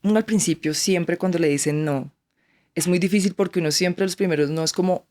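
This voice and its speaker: Colombian woman rattling off stories super fast, lively, does little voices for people she quotes. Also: lowish voice. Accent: Colombian Spanish. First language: Spanish. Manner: animated, acts out quoted voices. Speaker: actress, guest. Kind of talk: casual interview chat.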